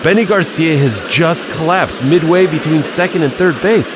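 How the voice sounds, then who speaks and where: An actor playing an earnest baseball radio announcer, calling the game live with measured phrasing and hesitant pauses. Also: male voice, a little high, lively intonation, close to an everyday voice. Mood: shocked, worried. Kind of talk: live radio sports commentary. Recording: dull lo-fi sound, loud background noise.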